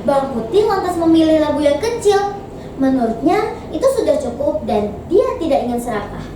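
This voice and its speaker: A young woman narrates a folktale with great expression. Her voice is high and very animated. It is recorded from a distance in a very echoey, noisy space. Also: normal speed. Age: teens. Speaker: student storyteller in a competition.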